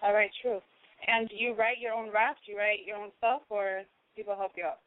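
A woman's voice: mid-range voice, fairly even intonation, brisk, no room sound. A woman hosting a show, chatting casually as she interviews a guest over thin, phone-like audio.

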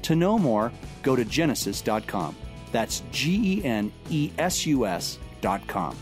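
A man reading scripted sponsor messages for a podcast in a clear announcing delivery. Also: medium pitch, very animated, unhurried, faint music behind. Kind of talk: scripted ad read.

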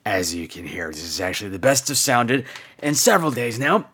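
A man hoarse from laryngitis, hosting a casual comedy podcast in a cheeky, theatrical way. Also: lowish voice, sing-song, a little fast, pushed and bright. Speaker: podcast host.

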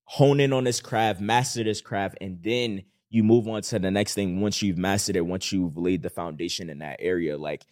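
Low-voiced man giving earnest advice. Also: normal speed, hardly any pauses, a little mellow, no echo, studio quality. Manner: earnest, encouraging, filler-heavy with "like". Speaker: podcast co-host. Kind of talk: casual podcast chat.

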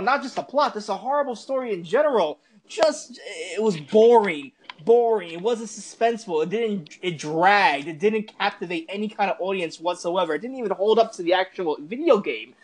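A podcast host giving a casual film review as an emphatic, repetitive rant peppered with swearing. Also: mid-range voice.

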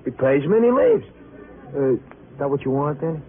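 An actor playing a chatty, streetwise cab driver with a New York accent and colloquial delivery in a vintage radio drama. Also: male voice, on the high side, very animated, narrow, tinny audio, over loud music. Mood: animated. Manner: colloquial, colorful, halting storytelling. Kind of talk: 1950s radio drama acting. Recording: thin vintage radio with faint noise.

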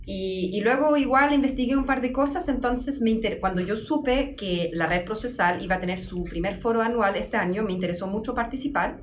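A young woman whose first language is German talks through her answers at an even, middling pitch, stopping often on a soft "em" to find the next word. A light hiss sits under her voice. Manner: thoughtful, with frequent "em" pauses. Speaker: guest researcher. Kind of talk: conversational interview.

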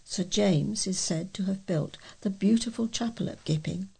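A British woman reading readers' letters aloud as a volunteer local newsreader, with a measured delivery and the occasional stumble. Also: mid-range voice, gravelly, a little mellow, slightly rough.

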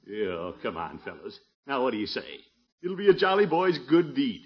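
An actor playing a pompous, jovial middle-aged uncle and town commissioner in a 1950s radio sitcom, blustery and pleased with himself. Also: male voice, medium pitch, very animated, normal speed, breathy edge. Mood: eager, persuading. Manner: self-satisfied, chuckling, blustery. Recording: muffled 1950s radio recording.

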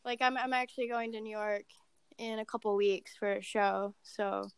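A woman in her twenties, a podcast guest, chatting casually about her work in a level, monotone way. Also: medium pitch, moderate pace, smooth, no echo. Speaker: podcast guest, assistant director. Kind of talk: casual chat.

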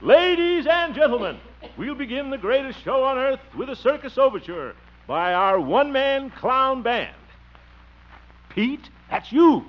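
A man's voice: very high voice, sing-song, measured pace, heavily acted. An actor playing a jovial, exasperated small-town man in a 1950s radio comedy, theatrical with wry muttered asides. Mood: grandly announcing. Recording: muffled, hissy old radio recording.

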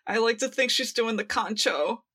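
A young woman with a high, very bright voice talks as a podcast co-host. She is excitable and giggly in casual fan chat. Swear words slip in freely. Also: moderate pace, breathy edge. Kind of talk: casual fan discussion of audio dramas.

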